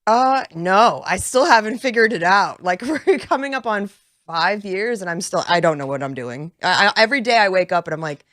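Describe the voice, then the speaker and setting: A woman's voice: mid-range voice, lively intonation, normal speed, a bit bright, no echo, studio quality. A woman comedian guest on a radio show, snarky and sarcastic, laughing often as she chats. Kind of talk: casual radio chat. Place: radio studio.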